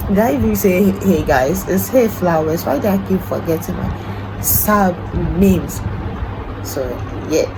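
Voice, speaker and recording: A young woman in her twenties chats through her morning at home, rambling and poking fun at herself. Her voice sits low and groggy. Steady background noise runs under the recording. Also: a little slow. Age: 20s. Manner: chatty, rambling, self-deprecating. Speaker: vlogger. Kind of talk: casual vlog chat. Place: at home, indoors.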